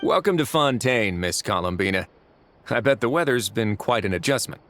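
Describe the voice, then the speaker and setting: A man's voice: fairly low pitch, expressive, moderate pace, a bit bright, faint music behind. A man voicing a wry, composed prison duke in a video game, with a dry, laid-back and teasing manner. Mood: wry, amused.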